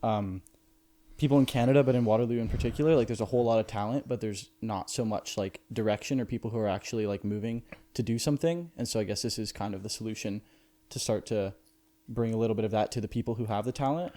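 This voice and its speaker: A man's voice: on the low side, quick pace, mellow. A young man interviewing a founder, asking hesitant, filler-heavy questions in a casual chat. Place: house in Waterloo.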